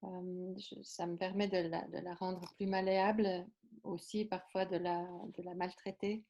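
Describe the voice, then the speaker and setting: A woman's voice: lowish voice, level tone, moderate pace, a little mellow, highs cut off. A woman giving consecutive interpretation, hesitant with many fillers and restarts.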